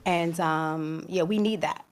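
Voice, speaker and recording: A woman's voice: low voice, steady tone, slow pace. Woman in her fifties, casual slangy banter. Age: 50s. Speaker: podcast co-host. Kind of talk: casual chat.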